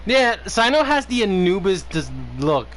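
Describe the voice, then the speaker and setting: Animated, slow-paced man streaming a game. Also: high voice, slight background noise, rough recording. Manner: reacts out loud, muttering asides. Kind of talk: casual live gameplay commentary. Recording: game music behind the voice. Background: video game music and dialogue.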